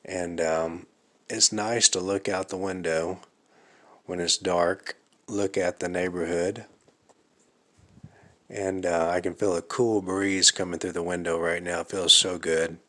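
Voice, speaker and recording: A man talks to the camera alone in his living room at night, his voice a little raspy. He speaks slowly and haltingly, with plenty of ums and uhs. His low voice has a bright, forceful edge. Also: flat delivery. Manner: slow, halting, thinking aloud with many fillers. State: slightly raspy from fasting. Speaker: vlogger. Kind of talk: casual vlog monologue.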